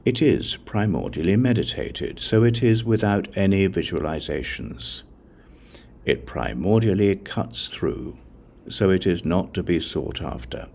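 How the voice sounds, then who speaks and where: A man narrating an audiobook of scripture, reading scripted text in a measured, solemn way, phrase by phrase. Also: low-pitched, lively intonation, vocal fry, a little mellow.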